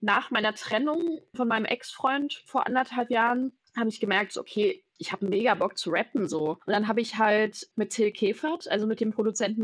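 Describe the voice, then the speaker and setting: A woman's voice: medium pitch, dry recording. A young woman, a musician, chatting casually and openly in an interview, mixing youthful slang with English words. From Germany. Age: young adult. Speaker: musician, rapper and singer.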